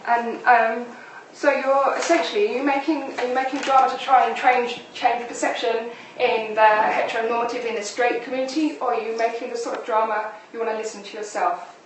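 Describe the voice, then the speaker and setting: A woman interviewing in a live discussion, putting her questions in a halting, tentative way. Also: fairly high pitch, steady tone. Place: echoey indoor space, live-streamed discussion. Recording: very echoey room, distant mic, faint noise.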